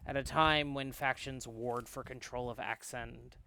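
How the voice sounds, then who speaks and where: Man performing male scholar narrator, visual novel, clear resonant voice, formal, careful, lecturing manner. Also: medium pitch, steady tone, pushed and bright. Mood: solemn. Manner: formal, didactic, measured. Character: learned, cautious male flower scholar and narrator. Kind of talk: visual novel read aloud in character.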